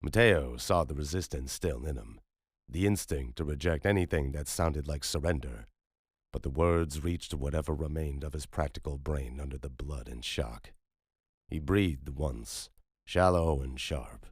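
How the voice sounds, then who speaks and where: A man's voice: extremely low pitch, a little slow, husky, dry recording, studio quality. A man narrating scripted horror fiction as a storyteller.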